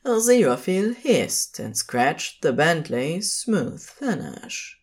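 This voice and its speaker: Casting: female fiction narrator with a deep register, measured phrasing and widely varied intonation. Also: sing-song, darkish tone, breathy edge.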